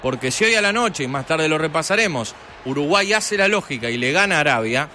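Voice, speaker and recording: A man giving live football commentary on the radio, animated and building emphasis, stretching his words when he calls a goal. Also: on the high side, fairly quick, a little hiss or hum.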